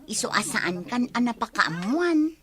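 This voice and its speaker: A woman's voice: a little high, very animated, unhurried, a crowd in the background. A woman playing a sociable young woman in a radio comedy drama, speaking in a chatty, friendly manner. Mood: friendly, gossipy.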